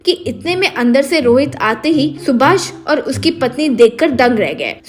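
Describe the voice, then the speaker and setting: A woman narrates a scripted tale for children. Her voice is high-pitched, and light music plays behind her. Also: big pitch swings, unhurried.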